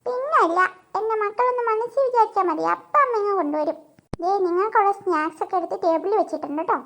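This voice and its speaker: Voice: extremely high pitch, fast talker, fairly bright tone. A performer voicing a sweet-talking, secretive mother in a cartoon comedy, coaxing and reassuring.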